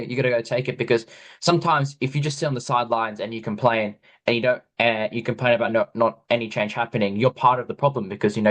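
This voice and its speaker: An Australian podcast host interviewing a guest, rambling with many "you know"s. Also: male voice, mid-range voice, a bit bright. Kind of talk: interview chat.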